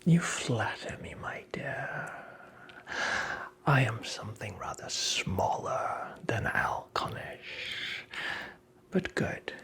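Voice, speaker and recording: A creepy little male imp acted out by a performer whispers slowly, in a sly and taunting way. The breathy delivery is vain and condescending, drawing out phrases as in a fairy-tale ASMR roleplay. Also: medium pitch, lively intonation, a bit bright, full character voice. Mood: smug, menacing. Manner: slow, teasing, condescending, drawn-out phrasing.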